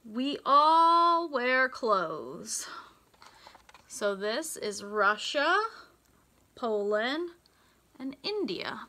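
An American woman reading a picture book aloud to young children, in a deliberate, sing-song teacher's delivery with emphasized words. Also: a little high, very animated, bright, forceful tone, slight background noise. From United States. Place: small indoor room with racks of hanging clothes.

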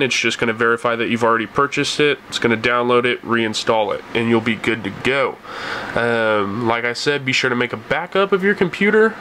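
Male tutorial host, mid-range voice, informal instructional delivery, faint room noise behind. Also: medium pitch. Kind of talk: casual step-by-step screen tutorial. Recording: noisy room with steady hum.

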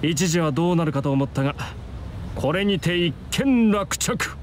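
A man's voice: on the high side, lively intonation, moderate pace, a little hiss or hum, lightly acted. A man voicing a stern, proper teenage student in a Japanese visual novel, formal and admonishing with old-fashioned phrasing. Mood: stern, composed. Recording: outdoor street ambience behind voice.

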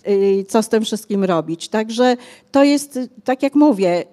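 Older female author, mellow and unhurried, fills pauses often while explaining. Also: medium pitch, animated. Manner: hesitant, many fillers, explanatory. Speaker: author guest. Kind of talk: conversational interview. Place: bookshop cafe stage, indoors. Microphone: handheld mic.

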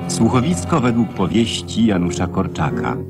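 Male radio play announcer, lowish voice, slow, expressive, loud music. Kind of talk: scripted radio drama announcement.

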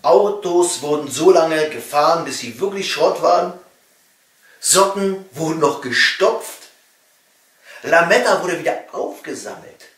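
A middle-aged man from East Germany reading a satirical comedy monologue with wry emphasis and pointed pauses. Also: on the high side, expressive, fairly bright tone. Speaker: cabaret comedian and author. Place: small home study, indoors. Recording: roomy echo, distant microphone.

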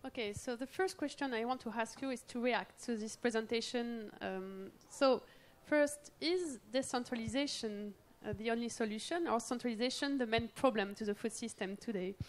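A high-voiced middle-aged woman, a native French speaker, moderates a panel in English. She is lively and laughs between turns, speaking into a handheld mic. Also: moderate pace, few pauses. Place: large event tent with audience.

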